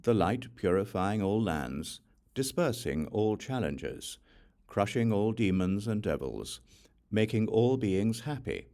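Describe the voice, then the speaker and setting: Male audiobook narrator, deep register, expressive rise and fall, solemn scriptural reading. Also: slow pace.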